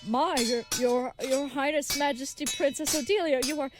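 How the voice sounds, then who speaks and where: A woman's voice: high-pitched, normal speed, music in the background, close to an everyday voice. A woman playing an earnest, naive, chivalrous young knight in an audio comedy, speaking with stilted, wordy politeness. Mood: flustered. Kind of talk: scripted audio comedy acting.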